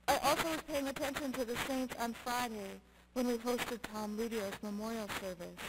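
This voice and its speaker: A middle-aged woman pastor delivering a sermon in measured, emphatic short phrases. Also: a little high. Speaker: Lutheran pastor. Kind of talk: sermon with scripture reading. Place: church sanctuary, at the pulpit. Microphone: gooseneck pulpit microphone. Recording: clearly noisy church sound recording.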